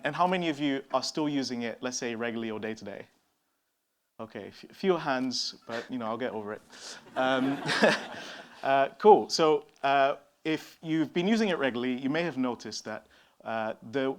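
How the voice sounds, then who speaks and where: A man from São Tomé and Príncipe giving a conference talk on stage, relaxed and full of fillers and restarts. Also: medium pitch. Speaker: conference speaker. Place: conference stage before an audience.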